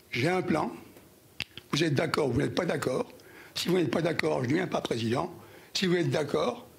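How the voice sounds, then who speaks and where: A hoarse older man giving a speech to an audience, halting and repeating words as he recalls past events. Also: fairly high pitch, a little hiss or hum. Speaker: former union president giving an anniversary speech.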